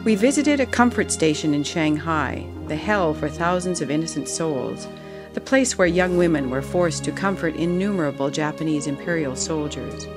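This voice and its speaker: A narrator reading a solemn, measured documentary script. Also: mid-range voice, music in the background. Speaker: narrator of a study tour video.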